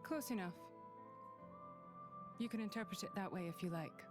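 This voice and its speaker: Woman voicing a proud, measured warrior woman. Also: medium pitch, music in the background, close to an everyday voice. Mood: cool, guarded. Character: proud, coolly confident female Imperial warrior. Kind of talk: video game cutscene voice acting.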